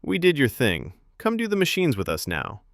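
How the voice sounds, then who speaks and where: A man's voice: medium pitch, huge pitch swings, normal speed, creaky, no echo, clean studio sound, pitched above the performer's own voice. A man voicing an easygoing, teasing young man with a casual, friendly manner in an audio story. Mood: upbeat.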